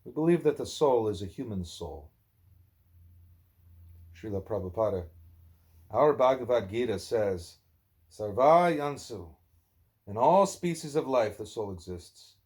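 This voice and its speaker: A man's voice: on the low side, expressive, measured pace, long pauses, a little mellow. Man reading a spiritual book aloud. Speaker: book reader, parent. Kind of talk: home read-aloud of a spiritual dialogue.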